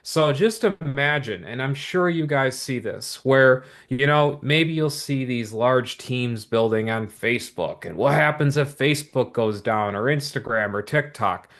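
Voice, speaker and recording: Energetic man giving a motivational sales pitch. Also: measured pace, hardly any pauses. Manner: energetic, repetitive motivational patter with many asides. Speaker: business opportunity presenter. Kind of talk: upbeat sales pitch to viewers.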